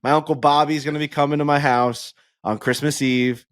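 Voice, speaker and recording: A man's voice: a little high, fairly even intonation, brisk, no room sound, studio quality. A man co-hosting a podcast, ranting in casual sports talk and swearing freely. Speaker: podcast co-host.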